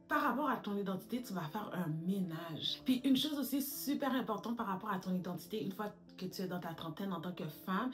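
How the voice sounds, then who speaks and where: A woman, giving advice straight to camera as a lifestyle YouTuber, calling her viewers "girls" and mixing in English words. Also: medium pitch. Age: 30s. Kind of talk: direct-to-camera advice vlog.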